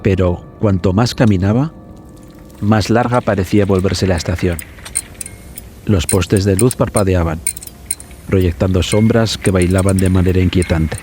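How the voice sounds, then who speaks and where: A man narrating a scripted suspense horror story for a podcast in a dramatic, measured way. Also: low-pitched, fairly even intonation, dark tone. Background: background music.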